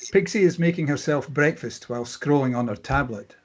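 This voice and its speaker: A man's voice: mid-range voice, a little fast. A man reading stage directions as scripted narration at a screenplay table read.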